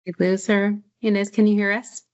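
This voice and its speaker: A woman's voice: medium pitch, little pitch movement, moderate pace, darkish tone. A woman co-hosting a podcast over a remote video call, interviewing in a casual, conversational way. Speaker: podcast co-host. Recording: dull, lo-fi online call audio.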